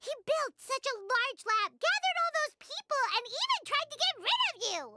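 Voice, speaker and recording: A woman voicing a tiny, chatty, excitable fairy companion who refers to herself in third person, over game music. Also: very high voice, moderate pace. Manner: talks about herself in third person, whiny and exclaiming. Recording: game audio with loud music.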